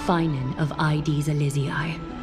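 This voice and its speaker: Stately, low-pitched fantasy leader. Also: female voice, little pitch movement, loud music behind the voice. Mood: probing, stately. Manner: formal, deliberate, stately. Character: regal, composed female leader, grave and knowing. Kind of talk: fantasy game voice acting.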